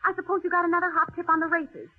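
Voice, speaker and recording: An actress playing a bitter, nagging working wife in a 1940s radio drama, scolding with sharp sarcasm. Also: female voice, very high-pitched, level tone, very fast. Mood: angry, sarcastic. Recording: tinny band-limited old radio broadcast.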